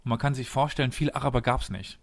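A young man talks through a history topic in a relaxed podcast conversation. He thinks aloud, restarts words and corrects himself as he explains. His voice sits at an ordinary middle pitch. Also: quick pace. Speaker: guest presenter on a history podcast. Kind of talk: casual explanatory podcast talk.

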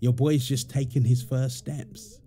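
Mellow, lively playful young dad acted by a man. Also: mid-range voice, measured pace, creaky, a little hiss or hum. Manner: teasing, affectionate, chuckling banter. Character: playful teasing young husband and doting father. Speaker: roleplay audio performer. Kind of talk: intimate domestic ASMR roleplay.

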